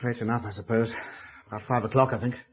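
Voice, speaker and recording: An actor playing a studious, polite young English gentleman in a radio drama, educated and rational. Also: mid-range voice, steady tone, fast talker, slight background noise, close to an everyday voice. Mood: groggy, polite. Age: young adult. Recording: dull lo-fi old radio recording.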